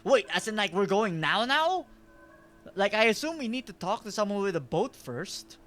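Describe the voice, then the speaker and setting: High-voiced Filipino streamer, chatty. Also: male voice, sing-song, normal speed, fairly bright tone, faint music behind. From Philippines. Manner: chatty, rambling, self-deprecating, laughs a lot. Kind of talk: casual game-stream chat.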